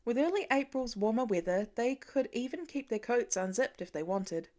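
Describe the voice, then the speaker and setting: Expressive, high-voiced woman storyteller. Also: moderate pace. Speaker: amateur audiobook reader. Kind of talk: expressive scripted story narration.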